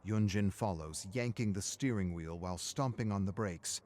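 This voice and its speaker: A man's voice: low voice, moderate pace, a little mellow. A man reading a scripted story as a dramatic narrator.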